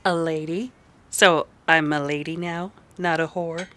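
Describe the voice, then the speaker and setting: A woman's voice: sits low, fairly even intonation, measured pace, a bit bright. A woman playing a brazen, theatrical saloon lady, haughty and dramatic, in an old-time radio Western. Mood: teasing.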